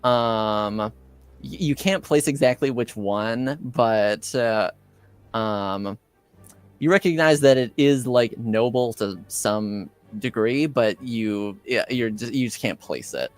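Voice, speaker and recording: A man running a tabletop roleplaying game as game master, narrating in a casual, halting way. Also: medium pitch, smooth, dry recording. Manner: halting, hesitant, casual delivery. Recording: music behind the voice. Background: music.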